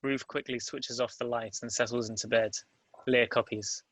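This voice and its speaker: Male narrator, very bright crisp-edged tone, even-keeled range, dry close sound, scripted stage directions. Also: mid-range voice, moderate pace, no echo, poor audio. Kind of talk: scripted narration at a table read.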